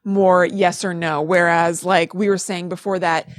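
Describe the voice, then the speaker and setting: A woman's voice: on the low side, level tone, measured pace, no room sound, clean studio sound. A woman co-hosting a podcast, chatting casually as she explains what she has researched in a conversational way. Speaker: podcast co-host. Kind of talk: casual chat, retelling research.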